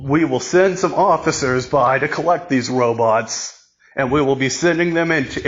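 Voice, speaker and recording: A man slowly reading a mock emergency broadcast in a solemn announcer voice, stumbling and repeating words as he goes. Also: mid-range voice, fairly bright tone, dull audio.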